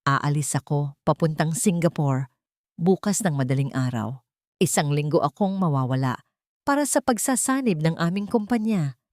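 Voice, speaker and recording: A woman's voice: low-pitched, animated, moderate pace, a little mellow, dry recording. Woman as cold rich son in audio drama, firm, dismissive, dry close sound. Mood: firm, curt. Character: wealthy businessman son, curt, cold, patronizing. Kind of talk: Tagalog audio drama storytelling.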